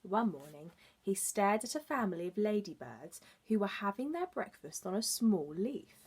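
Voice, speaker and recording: A woman's voice: medium pitch, very animated, moderate pace, darkish tone, slightly rough. A woman teacher reading a children's storybook expressively, adding explanatory asides for young listeners. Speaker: early years teacher reading aloud.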